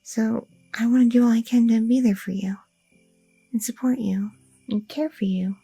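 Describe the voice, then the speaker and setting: A young woman playing a loving, chatty goth girlfriend in an ASMR roleplay, talking softly and intimately with lots of fillers, close to the mic. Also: on the high side, steady tone, moderate pace, gravelly, slightly rough, no echo. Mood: tender, candid. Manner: soft, intimate, rambling with many fillers.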